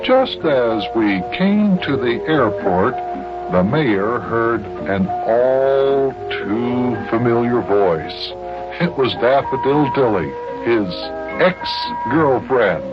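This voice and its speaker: A man hosting and narrating a 1950s children's radio story, with measured, deliberate phrasing and pauses. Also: mid-range voice, animated, music in the background. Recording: muffled, noisy old radio recording.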